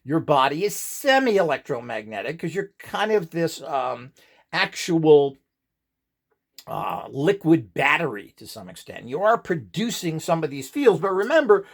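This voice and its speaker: A man with a fairly high, crackly voice lectures informally as an instructor. His pitch swings in a lively way as he rambles through explanations. He often throws out rhetorical questions and answers them himself. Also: measured pace.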